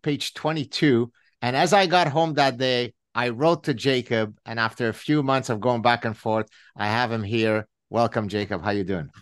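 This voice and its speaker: A man's voice: mid-range voice, dry recording. A middle-aged man hosting an interview podcast, talking in a chatty way with asides and fillers. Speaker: podcast host. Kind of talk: interview conversation.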